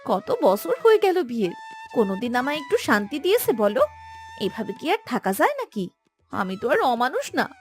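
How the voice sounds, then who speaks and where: Fiery young cartoon village wife female actor: high, swooping, complaining and melodramatic, light music underneath. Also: wildly swooping pitch, normal speed. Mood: aggrieved. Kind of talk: Bengali cartoon voice acting.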